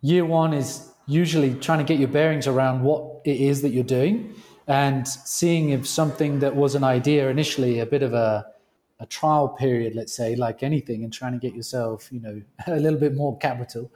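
A British man, a company founder, speaking as a guest on a remote interview call, with halting speech and frequent restarts. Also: mid-range voice, fairly even intonation, dark tone. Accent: British English. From Britain. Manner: halting, restarts phrases often.

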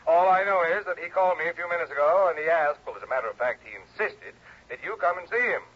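A man's voice: on the high side, expressive, fast, husky. An actor playing a flustered, deferential male insurance agent, formal and hesitant, in old radio drama style. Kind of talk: 1950s-60s American radio drama acting. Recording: dull, telephone-like old radio recording.

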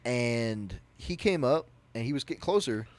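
A man's voice: mid-range voice, moderate pace. Young man telling a story animatedly. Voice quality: naturally loud voice. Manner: animated, rambling, with frequent restarts. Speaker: podcast co-host. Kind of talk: casual storytelling.

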